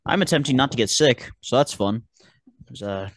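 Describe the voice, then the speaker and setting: A man hosting a casual sports talk podcast with friends, teasing and sarcastic, his voice a little raspy from a sore throat. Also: medium pitch, lively intonation, brisk, dry recording. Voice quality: slightly raspy. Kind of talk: casual banter among friends.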